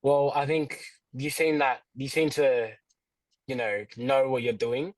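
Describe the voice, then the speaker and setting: A young man answering questions as a prospective client, in a hesitant, halting and understated way. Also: medium pitch, little pitch movement, no room sound, rough recording. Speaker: prospective client on a sales call.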